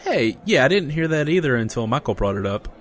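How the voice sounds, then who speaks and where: An actor playing an incredulous buddy who is game for adventure, casual and reactive, in a comedic fantasy audio drama. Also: male voice, medium pitch, big pitch swings, brisk, lightly acted. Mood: incredulous, eager.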